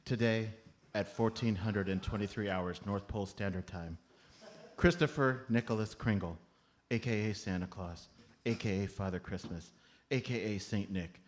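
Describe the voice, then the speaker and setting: A man's voice: fairly low pitch, normal speed, lightly acted. A man playing a tiny, solemn elf foreman with a long-winded official manner in a live comedy radio play. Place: live on stage at a comedy club.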